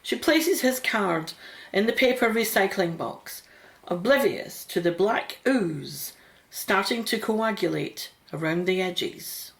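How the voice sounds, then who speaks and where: A woman's voice: medium pitch, expressive, slow. A woman reading scripted narration for a radio drama as its narrator.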